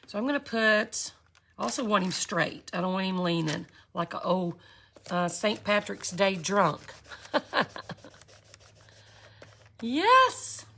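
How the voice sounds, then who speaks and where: A woman's voice: medium pitch, very animated, takes it slowly, pauses often, pushed and bright, slight background noise. A cheerful woman, teaching glass art on a livestream and chatting with viewers. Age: 50s.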